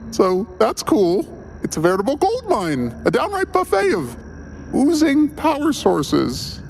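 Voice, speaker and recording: A man's voice: very high-pitched, wildly swooping pitch, unhurried, gravelly, a little hiss or hum, pitched above the performer's own voice, heavily acted. A man voicing an awkward, hesitant small-time battery seller in a spoof audio-fiction advertisement, rambling with understated boasts. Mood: awkward pride.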